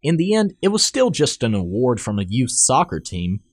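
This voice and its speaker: A man's voice: medium pitch, wildly swooping pitch, moderate pace, no room sound. A young man reading a scripted personal essay aloud in an earnest, rehearsed storytelling manner.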